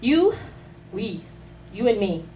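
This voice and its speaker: A woman's voice: on the high side, sing-song, takes it slowly, dark tone, far from the mic. A woman in her thirties, a spoken word artist, gives a testimony talk in a small pub room. Her delivery is earnest and rhythmic, built on rhetorical questions with light asides. The recording picks her up from across the room through a camera mic, with a faint hiss. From Canada. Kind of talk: faith talk with poetry reading. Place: small dim pub room, seated before a live audience. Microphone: handheld mic held close.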